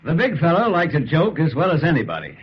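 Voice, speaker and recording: An actor playing an enthusiastic sports reporter who narrates in an earnest, punchy old-time radio style with an American accent. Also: male voice, a little high, huge pitch swings, fast, faint music behind. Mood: dramatic storytelling. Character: enthusiastic male sports reporter, the ballplayer's pal. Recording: muffled, hissy old radio recording.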